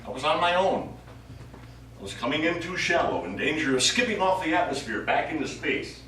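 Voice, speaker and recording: An actor playing a nonchalant, wry astronaut in a stage play. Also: male voice, on the high side, animated, brisk, pushed and bright. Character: nonchalant, wry male astronaut tending the ship. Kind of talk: stage acting. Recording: echoey distant stage recording, faint noise.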